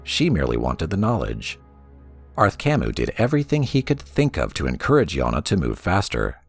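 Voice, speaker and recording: A man reading a story aloud as a storybook narrator, with a theatrical, expressive delivery. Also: rumbling low, moderate pace, creaky, slightly rough, no echo, soft background music. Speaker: lore reader for a gaming let's play.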